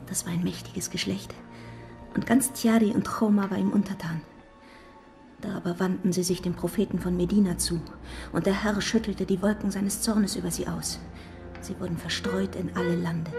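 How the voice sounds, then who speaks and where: An actress playing a shy, earnest, devout young mountain girl, breathy and hushed, in a radio drama. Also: on the low side.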